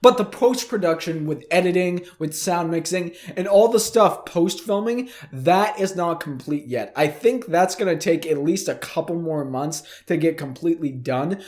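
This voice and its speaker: Man, slightly high voice, hoarse, losing his voice, eager, casual news vlog host, talks to viewers. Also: normal speed, few pauses, darkish tone. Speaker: host of a weekly fan news show. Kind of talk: casual news commentary to camera.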